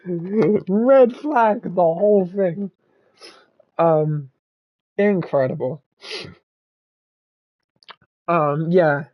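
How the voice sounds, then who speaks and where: A man with a worn-out, hoarse voice stumbles through a casual race wrap-up, restarting his sentences and swearing now and then. He talks slowly. His voice comes through a dull, dry lo-fi mic. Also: high voice, no room sound. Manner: stammering, rambling, restarts often; swears, laughs at himself. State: hoarse, voice strained from shouting. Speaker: race commentator.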